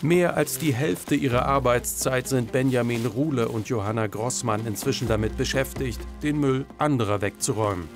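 A man delivers scripted voice-over for a news report. His voice sits in a middle register, with music clearly playing underneath. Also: mid-range voice, normal speed, few pauses. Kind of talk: scripted narration. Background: background music.

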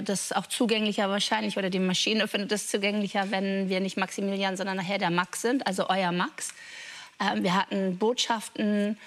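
A woman talking casually in a team discussion, presenting ideas to colleagues. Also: mid-range voice.

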